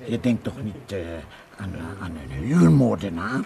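An actor playing a chatty, insistent island policeman in a radio drama, with a gravelly colloquial voice. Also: lowish voice, big pitch swings, a little slow, crowd noise, heavily acted. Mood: protesting. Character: chatty island policeman, male, protective of locals, hospitable.

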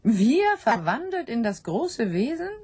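Anxious sow for radio play: brooding, very mellow and dark, husky edge. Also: female voice, fairly high pitch, very animated, unhurried, exaggerated voice. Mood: anxious, doubting. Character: anxious, doubting sow pondering her fate. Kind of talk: literary radio drama acting.

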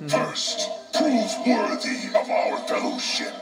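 An undead construct of many souls in a fighting game, high and swooping in pitch. The delivery is formal and grave, speaking as many at once with ghostly layering. It echoes over loud music. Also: male voice, big pitch swings, takes it slowly, far from the mic, exaggerated voice. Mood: solemn, defiant. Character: collective of vengeful dead souls in one construct, solemn. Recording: echoing game audio under loud music.

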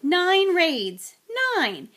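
A woman's voice: very high voice, very animated, drawn-out words, fairly bright tone, voice pushed up, heavily acted. A woman voicing a mild, tired middle-aged father in a storybook, with a hesitant, mumbling manner. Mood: weary. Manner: hesitant, stammering, sighing, mumbling.

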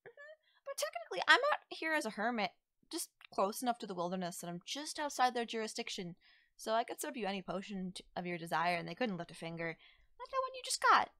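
A woman acts out a playful young forest witch for an ASMR roleplay. Her pitch swings expressively as she teases, coaxes and reassures the listener. The sound is close and dry. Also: wildly swooping pitch, bright, forceful tone, no room sound, heavily acted. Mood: breezy, confiding. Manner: coy, soothing, conversational with drawn-out words. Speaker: roleplay voice actor.

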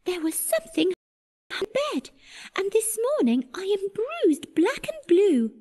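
A man voicing a tired, complaining young princess in falsetto for a storybook. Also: wildly swooping pitch, measured pace, a little mellow, full character voice. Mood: weary, complaining. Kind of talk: storybook character voice.

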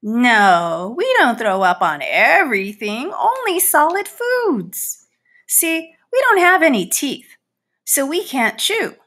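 Woman as a boastful, very animated storybook housefly. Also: high voice, slow, full character voice. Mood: explanatory. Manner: boastful, theatrical, scornful of butterflies. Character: boastful, butterfly-envying male housefly, a proud old father. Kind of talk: animated children's picture book read-aloud.

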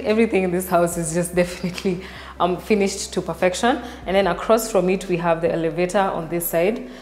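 A woman's voice: lowish voice, darkish tone. A woman from Kenya hosting a walking house tour, chatty and enthusiastic as she points out features to viewers. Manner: enthusiastic, chatty, addresses viewers as you guys. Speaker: real estate tour host. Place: large empty house, indoors. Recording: echoey empty rooms with long reverb.

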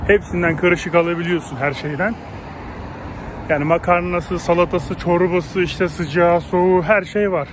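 A man in his thirties walks and talks to his viewers in a loose, rambling way, with the phone held out at arm's length. His voice sits a bit high for a man. Traffic and wind come through clearly behind him. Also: steady tone, moderate pace. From Turkey. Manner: chatty, rambling, addresses viewers directly. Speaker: travel vlogger. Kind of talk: casual walking-tour vlog. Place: outdoors on a windy lakeshore and city streets. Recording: outdoor phone audio with traffic and wind noise.

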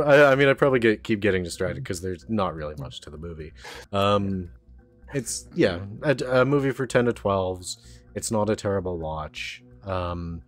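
A man with a fairly low voice co-hosts a casual film podcast. He muses in halting phrases, with faint music in the background. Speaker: podcast co-host.

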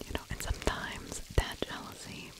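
Female, middle-aged, fully whispered ASMR narration, breathy and close-miked, conversational storyteller delivery. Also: moderate pace, low-quality recording. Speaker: ASMR true crime storyteller. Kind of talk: whispered ASMR narration of a true crime case. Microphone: close-up desktop microphone with fluffy windscreen.

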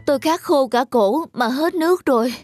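A woman voicing a weary, stubborn and foolish male traveller in an animated cartoon. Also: high-pitched, moderate pace. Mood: exhausted, thirsty. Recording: loud music under the voice.

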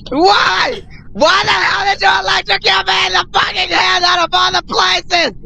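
A man yelling in pain and outrage on gaming voice chat, swearing loudly. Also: very high voice, little pitch movement, normal speed, creaky, slight background noise. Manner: loud, foul-mouthed, outraged.

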